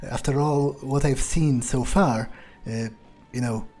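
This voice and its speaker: A man's voice: mid-range voice, steady tone, slow pace, a little mellow, faint music behind. A man role-playing his character in a video game playthrough, thinking aloud in a musing, hesitant, sardonic way. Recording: faint background game ambience.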